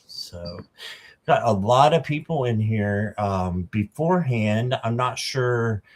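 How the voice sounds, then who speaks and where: Guy in his 50s running a live stream, slow and halting, lots of ums, saying hi to chat one by one. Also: mid-range voice, expressive. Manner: slow, halting, greets viewers by name with many ums. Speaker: livestream host. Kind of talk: casual livestream chat.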